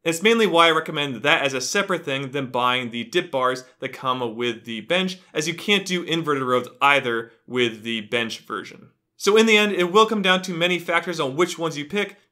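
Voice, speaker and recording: A young man giving an upbeat, steady review of home gym equipment to camera from a small home gym room. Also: a little high, normal speed, few pauses. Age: young adult. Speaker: fitness youtuber.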